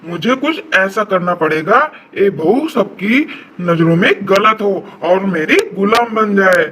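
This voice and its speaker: A man's voice: high voice, lively intonation, a little slow, sharp tone, exaggerated voice. A man voicing an aggrieved, scheming elderly mother-in-law who complains like a martyr in a cartoon family melodrama. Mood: scheming, grumbling. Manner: complaining, self-pitying, martyred.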